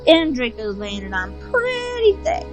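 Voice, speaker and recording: A woman's voice: sits high, huge pitch swings, a little slow, over music. A woman hosting a casual, chatty story recap in an informal, playful way. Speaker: host giving a recap.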